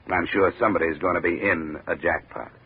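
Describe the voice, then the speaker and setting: Tinny hissy old radio, low tough detective, sardonic, wisecracking, blunt. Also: male voice, steady tone, quick pace. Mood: scornful, tough. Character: wisecracking, hard-boiled male insurance investigator, sardonic and tough. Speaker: lead and narrator of detective series. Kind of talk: 1950s radio detective acting and narration. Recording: noisy narrow-band old radio broadcast.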